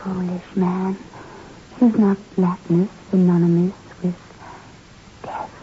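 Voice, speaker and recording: A woman playing a calm, eerie female spirit in a 1960s radio horror play, hushed, measured and solemn. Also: fairly low pitch. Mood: cold solemnity. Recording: noisy, muffled 1960s radio recording.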